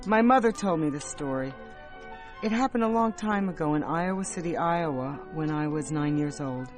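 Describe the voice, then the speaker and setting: Guy acting a wistful emigre telling a childhood story, voice a bit high, radio play, faint music. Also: normal speed, lightly acted. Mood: wistful, earnest. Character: wistful emigre narrator recalling childhood aged nine. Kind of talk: dramatic monologue in radio drama.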